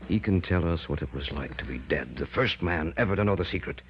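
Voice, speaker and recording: A man's voice: low voice, lively intonation, a little fast. Commanding, theatrical mad-scientist father. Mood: awed excitement. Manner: theatrical, emphatic. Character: obsessed scientist father, stubborn, commanding, later remorseful. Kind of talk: old-time radio horror acting. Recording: dull, hissy vintage radio recording.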